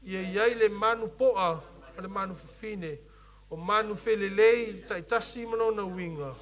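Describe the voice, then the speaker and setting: A man with a Samoan accent, a youth leader preaching a sermon who also reads scripture, often pausing and restarting as he searches for English words. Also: high voice, a bit bright. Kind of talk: bilingual sermon with scripture reading.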